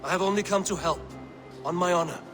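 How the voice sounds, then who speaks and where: An actor playing a stern, blunt male Viking warrior in a game cutscene, speaking in a steady, measured way. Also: sits high, music in the background, lightly acted. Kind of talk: video game cutscene acting.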